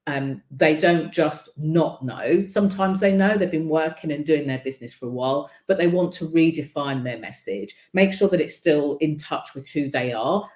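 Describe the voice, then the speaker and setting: Woman coach, deep plain voice, chatty with lots of ums, bit of room echo. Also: darkish tone. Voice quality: deep voice without a marked accent. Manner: conversational, many ums and you-knows. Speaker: visibility coach hosting a broadcast. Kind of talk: casual spoken coaching talk.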